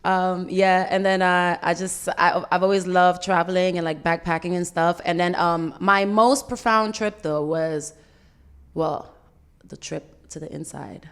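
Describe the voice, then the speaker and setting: A woman's voice: lowish voice, steady tone, measured pace, clear, resonant tone. A woman from Senegal who grew up partly in the Bronx, giving a casual, earnest and reflective radio interview as a musician in a studio. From Senegal, then the Bronx, USA.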